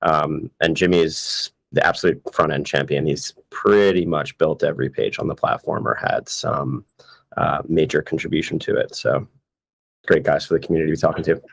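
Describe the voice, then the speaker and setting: A man's voice: extremely low pitch, fairly even intonation, moderate pace, gravelly, husky, no room sound. A man explaining his work as product owner in an upbeat, casual way. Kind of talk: casual chat.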